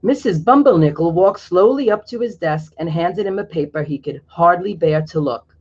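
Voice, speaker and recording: A man's voice: high-pitched, normal speed, darkish tone, slightly rough. A man reading a picture book aloud to children, stopping now and then to explain things to his young listeners. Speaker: storyteller. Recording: dull lo-fi recording.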